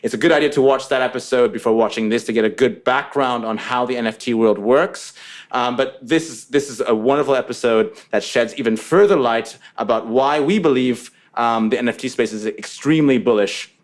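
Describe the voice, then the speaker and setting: A man hosting a casual podcast interview, recorded in a music studio. His voice sits at a medium pitch, and he talks in an upbeat, chatty way. He stumbles and repeats words often. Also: brisk. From Mauritius. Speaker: podcast host.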